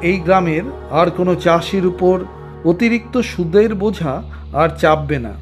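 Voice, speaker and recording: A man's voice: high-pitched, normal speed, faint music behind, lightly acted. A man voicing a composed, authoritative government officer in a Bengali cartoon, formal and explanatory. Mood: reassuring, official.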